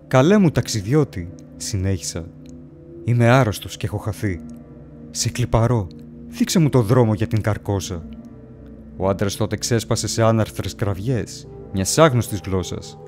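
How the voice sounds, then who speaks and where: Casting: low-voiced ancient ghost played by a man, bewildered and solemn, theatrical horror audio drama over music. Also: darkish tone, close to an everyday voice. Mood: pleading, then uneasy, watchful. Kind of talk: dramatic first-person horror story narration.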